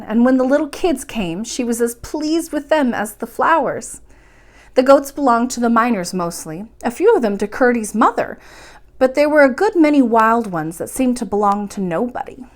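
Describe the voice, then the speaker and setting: A woman's voice: fairly high pitch, very animated, moderate pace. A woman reading a children's story aloud expressively, as the host of a bedtime storytime.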